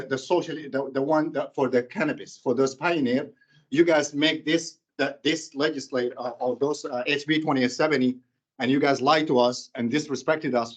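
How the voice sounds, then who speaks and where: Sudanese-accented man, impassioned public commenter, halting speech, muffled meeting recording. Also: medium pitch. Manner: impassioned, halting, with many restarts and repetitions.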